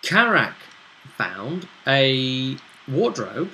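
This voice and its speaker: A man's voice: mid-range voice, very animated, drawn-out words, sharp tone, slight background noise, poor audio. A man hosting a casual recap of a tabletop roleplaying session, telling it in a conversational storytelling way. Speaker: host and game master recapping a tabletop session.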